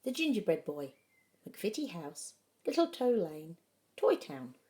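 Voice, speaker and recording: Woman doing a kids story readaloud, slow pace, super expressive up and down voice, dramatic pauses. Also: on the low side. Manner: animated, with dramatic pauses and emphasis. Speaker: storybook reader. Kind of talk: expressive children's picture book read-aloud.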